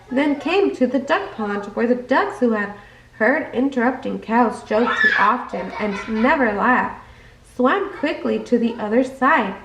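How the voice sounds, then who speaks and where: A woman reading a children's bedtime story aloud as an expressive narrator. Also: on the high side, noisy background. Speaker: storybook reader. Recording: echoey room.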